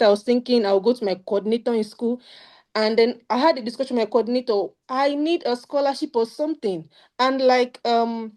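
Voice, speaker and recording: A woman's voice: a little high, darkish tone. A young woman with a Nigerian accent sharing her study-abroad experience in an earnest, emphatic way on a video call. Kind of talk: casual panel talk.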